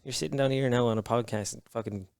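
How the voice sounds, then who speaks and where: Frank, swearing man, smooth voice. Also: medium pitch, fairly even intonation, darkish tone, no room sound. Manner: frank and heavy-swearing, halting restarts. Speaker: podcast guest. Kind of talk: candid conversation.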